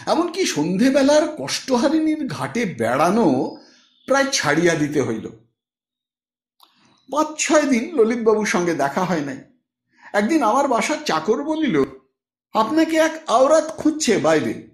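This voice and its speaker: A man reads literary fiction as an expressive storyteller. His voice sits fairly high and rises and falls widely, with deliberate phrasing. The recording has a clear room echo. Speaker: audiobook narrator, storyteller. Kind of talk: literary scripted narration.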